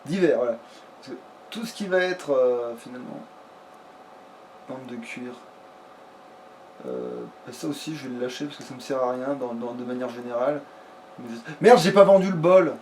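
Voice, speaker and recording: A man's voice: medium pitch, big pitch swings, normal speed, pauses often, darkish tone. A man giving casual let's play commentary, muttering to himself in informal, mildly crude language. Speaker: let's player. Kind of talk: casual gameplay commentary with a friend. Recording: noisy amateur recording.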